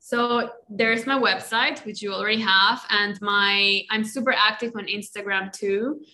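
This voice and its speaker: A woman with a Colombian Spanish accent, Spanish her first language, talks as a podcast guest. Her voice is bright and clear, pitched around the middle for a woman. She speaks reflectively, tagging her thoughts with "right?" and "you know.". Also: steady tone, a little slow. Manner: warm reflective talk with many "right?" and "you know" tags. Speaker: guest, life coach. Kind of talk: casual podcast interview.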